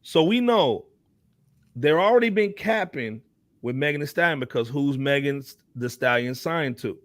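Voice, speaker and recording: A man with a fairly high voice speaks in a dry, echo-free sound. He gives casual commentary as a talk show panelist, in animated slang, and keeps checking that he is being followed. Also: normal speed. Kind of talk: casual hip hop commentary.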